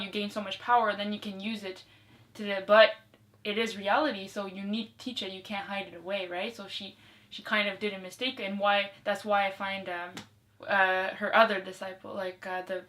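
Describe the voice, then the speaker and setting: A woman's voice: mid-range voice, flat delivery, a little fast, fairly bright tone. A woman in a casual discussion, speaking informally and tentatively, often checking in with her listener. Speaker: co-host or guest discussing a movie. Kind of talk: casual conversational chat.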